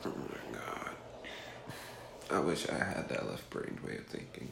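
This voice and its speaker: A man's voice: rumbling low, very animated, a little slow, vocal fry, roomy sound, noisy background. A man in his twenties chatting casually as a co-host, joking and laughing often. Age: 20s. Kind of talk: casual chat.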